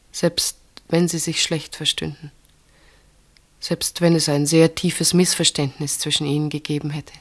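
An actress in a German radio play voicing an earnest, mature woman who speaks deliberately and confidingly, with weighted pauses. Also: sits low. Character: earnest older woman, sister pleading for a marriage.

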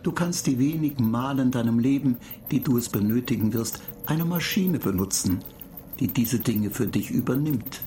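Radio drama: male actor as a dryly superior machine intelligence, clinical, measured, middle-register voice over clear background noise. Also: mid-range voice, close to an everyday voice. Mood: coolly superior. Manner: matter-of-fact, clinical, measured. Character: calm, pedantic male computer data agent, dryly superior. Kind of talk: radio play acting. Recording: clear noise bed behind the voice.

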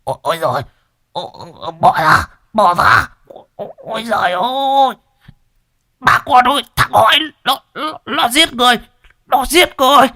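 A man voicing a panicked old village man who wails and stammers in high screams. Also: lively intonation, moderate pace, bright, forceful tone, exaggerated voice. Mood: panicked shrieks.

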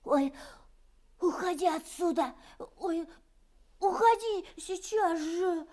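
A woman's voice: extremely high pitch, slow pace, a little mellow. A woman voicing a stubborn, whiny young boy donkey in an audio fairy tale, pleading and stammering. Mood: frightened, pleading.

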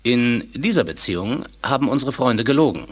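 A courteous but guarded alien scientist from a German science fiction radio play. His voice is husky and moves expressively through formal, earnest sentences. The delivery is measured and careful. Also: mid-range voice, animated. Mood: solemn explaining. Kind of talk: German audio drama acting.

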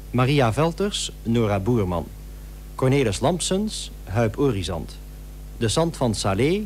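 Male vintage radio announcer, formal credit reading with expressive pitch movement over slight hum. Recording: old radio recording with faint hum.

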